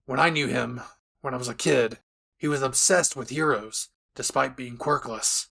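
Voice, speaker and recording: Man acting gruff, gravelly, short-tempered hero. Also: mid-range voice, moderate pace, bright, forceful tone, full character voice. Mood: weary, serious. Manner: blunt, sarcastic, menacing. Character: gruff, short-tempered adult male hero, threatening and blunt. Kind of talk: anime audio roleplay acting.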